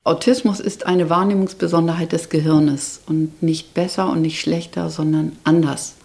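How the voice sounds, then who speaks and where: A middle-aged woman therapist explaining her work in a measured, thoughtful way. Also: sits low, steady tone, gravelly, a little mellow. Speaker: child and youth therapist. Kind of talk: interview answers.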